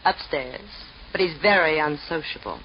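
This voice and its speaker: An actress playing a sultry, coolly menacing femme fatale in a 1940s radio drama, teasing and mocking. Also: female voice, sits low, normal speed, lightly acted. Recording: muffled, hissy old radio recording.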